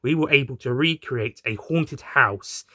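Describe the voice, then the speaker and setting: Man acting a melodramatic, bright-voiced villain. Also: medium pitch, unhurried, no echo, clean studio sound. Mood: gleeful. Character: theatrical male villain Time Lord, gleefully wicked, melodramatic.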